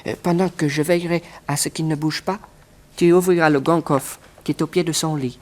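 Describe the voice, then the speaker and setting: An actor playing a cold, methodical conspirator in a 1960s French radio play, speaking deliberately. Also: male voice, a little high, steady tone, darkish tone, close to an everyday voice. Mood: cold, secretive. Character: cold, methodical male conspirator plotting a robbery.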